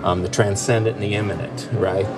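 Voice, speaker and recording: Low-voiced man musing aloud. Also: little pitch movement, brisk, noisy background. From part Finnish heritage. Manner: thoughtful, many fillers and restarts. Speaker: podcast co-host. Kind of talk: casual discussion. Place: restaurant.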